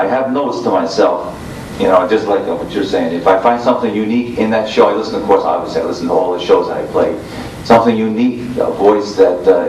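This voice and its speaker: An American man, a radio show host, explaining things step by step in a halting, informal way on a panel. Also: fairly low pitch, darkish tone. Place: convention room before an audience. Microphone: informal video recording, mic at a distance. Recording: echoey room, distant mic, faint noise.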